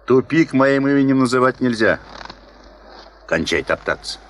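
An actor playing a brusque, needling expedition worker, curt and blunt, in a 1970s Soviet radio play. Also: male voice, medium pitch, expressive, moderate pace, a little hiss or hum, lightly acted. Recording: distant from the microphone.

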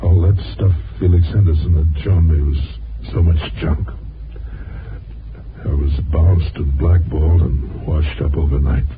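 An actor with an American accent playing a hard-boiled newspaper reporter in a 1950s radio drama. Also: male voice, very deep voice, steady tone, a little slow. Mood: bitter, defeated. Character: ambitious hard-boiled newspaper reporter, later a bitter washed-up loser. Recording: muffled old 1950s radio recording with music.

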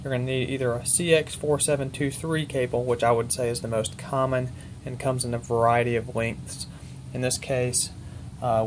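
A man's voice: medium pitch, noisy background. A man giving an informal technical walkthrough as he demonstrates equipment, speaking in a methodical, matter-of-fact way.

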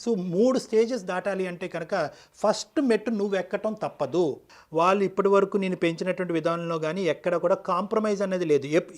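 A man counseling in a firm, didactic way as an advice show counselor, dropping English phrases into his speech. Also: sits high. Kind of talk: advice-giving counseling talk.

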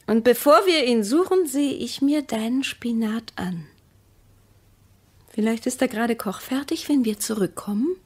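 An actress playing a distrustful wife in a bitter marriage, sharp-tongued, accusing and sarcastic, in a radio play. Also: female voice, fairly high pitch, expressive, measured pace, slightly rough. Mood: mocking.

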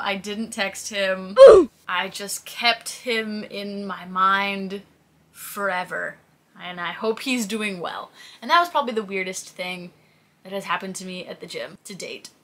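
Female, 20s. Bright mid-pitched voice, casual storytelling vlog delivery, acts out quotes. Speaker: fitness content creator. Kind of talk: casual chat, answering viewer questions to camera. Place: small plain indoor room, seated.

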